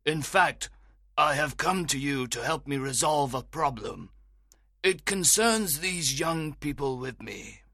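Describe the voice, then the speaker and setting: A man's voice: on the high side, animated, slow, sharp tone, no room sound, studio quality, pitched above the performer's own voice, full character voice. A man voicing a stern old father who insists on being obeyed, in a storybook reading. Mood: earnest. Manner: insistent, aggrieved.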